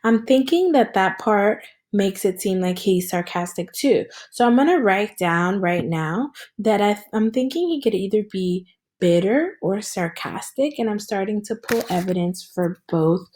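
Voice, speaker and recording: Woman teacher doing a read aloud, medium pitch, talks it through like a lesson for students. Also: lively intonation, measured pace, a little mellow. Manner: instructional, addresses listeners with check-in questions.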